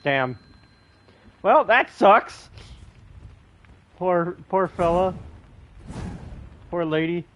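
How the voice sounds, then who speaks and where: A man's voice: high voice, lively intonation, extremely slow, long pauses, muffled recording, a little hiss or hum. A man streaming a video game, giving casual commentary as he plays.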